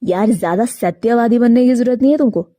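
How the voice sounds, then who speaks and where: Woman doing a casual young crow guy from a cartoon story, brushes off objections, mellow and dry. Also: a little high, fairly quick, no echo, lightly acted. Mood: irritated. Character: carefree, defiant young married male crow. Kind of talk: Hindi animated moral story character.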